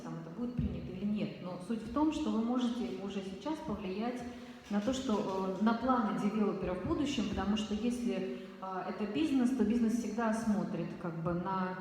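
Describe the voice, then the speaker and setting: A woman with a mellow voice interprets and explains, hesitating often and filling her pauses. She is heard in a large hall with a long, roomy echo and faint hiss. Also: medium pitch. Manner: hesitant, many fillers. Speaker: interpreter and organizer. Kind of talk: consecutive interpreting and commentary.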